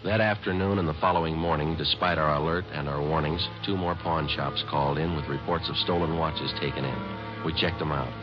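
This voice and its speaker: A man's voice: extremely low pitch, fairly even intonation, fairly quick. A man narrating as a detective in a 1950s radio drama, clipped and matter-of-fact. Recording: dull old radio broadcast with music.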